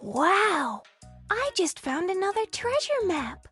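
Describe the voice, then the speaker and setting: A woman with a very high, swooping voice gives playful scripted prompts for a children's app. She is encouraging and excited, speaking slowly over faint music. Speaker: children's story app guide.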